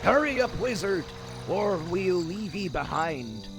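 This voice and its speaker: A high, very animated male griffin rider. He needles and teases in rustic fantasy speech, with music underneath. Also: a little slow, exaggerated voice. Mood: taunting. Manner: teasing, old-fashioned rustic speech. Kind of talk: scripted fantasy game cutscene acting.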